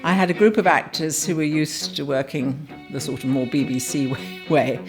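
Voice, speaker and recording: A woman's voice: sits low, steady tone, moderate pace, music in the background. An older woman, a radio drama producer, reminiscing in an anecdotal interview style.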